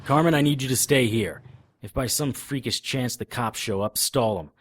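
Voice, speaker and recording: An actor playing a deadpan, hardboiled private investigator, narrating and speaking in a noir audio drama. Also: male voice, mid-range voice, normal speed, background noise, natural voice. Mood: brisk, sarcastic. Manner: deadpan, wisecracking.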